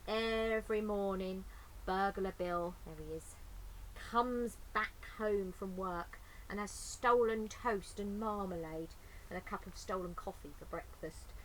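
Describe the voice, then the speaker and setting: A woman's voice: medium pitch, measured pace, low-quality recording. Woman reading to children, with background noise. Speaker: children's story reader. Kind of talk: informal chat and expressive storybook reading for children. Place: inside a garden greenhouse. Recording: clear ambient background noise.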